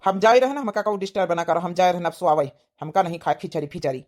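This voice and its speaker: A woman's voice: lowish voice, expressive, quick pace, a little mellow, lightly acted. A woman voicing a tired, grumbling village husband with an Awadhi accent in a cartoon comedy. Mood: fed up. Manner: grumbling, berating.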